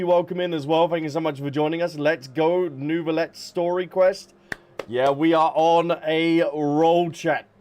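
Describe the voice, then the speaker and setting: A man's voice: fairly high pitch, darkish tone. A man hosting a livestream, talking casually and informally to his viewers in a chatty way. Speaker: live streamer, host.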